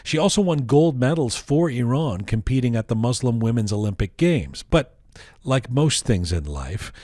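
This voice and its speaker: A man's voice: mid-range voice, a little mellow, no room sound, studio quality. A man hosting a podcast, reading a scripted introduction and then asking playful, teasing follow-up questions. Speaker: podcast host.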